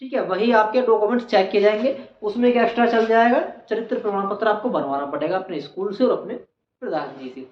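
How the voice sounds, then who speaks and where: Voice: medium pitch, fast. A channel host giving an explainer on exam procedure, repeating key points for emphasis. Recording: echoey room, dull lo-fi, faint noise.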